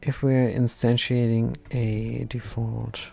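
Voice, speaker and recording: A man's voice: mid-range voice, level tone, slow pace, vocal fry, warm tone, soft background music. A man from Germany with a German accent, thinking aloud as he codes live and chats with his viewers in a wry, self-deprecating way. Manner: self-deprecating, wry, mutters half-finished lines. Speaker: live-streaming coder. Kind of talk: thinking aloud while coding, chatting with viewers.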